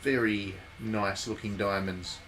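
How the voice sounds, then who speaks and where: A man's voice: lowish voice, takes it slowly, soft background music, rough recording. A man giving casual live commentary as he streams a game, rambling and thinking aloud. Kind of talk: casual live commentary on a visual novel playthrough. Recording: steady background noise.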